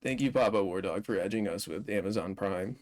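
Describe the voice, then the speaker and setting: A man's voice: fairly low pitch, a little fast. A man co-hosting a live-streamed movie discussion in a casual conversational style. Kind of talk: casual chat, film commentary.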